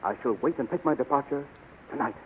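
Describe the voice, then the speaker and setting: An actor playing a loyal, confident, resolute messenger in a 1930s radio serial, formal and earnest. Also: male voice, medium pitch, lively intonation, fast, close to an everyday voice. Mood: solemn. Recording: dull, noisy 1930s radio recording.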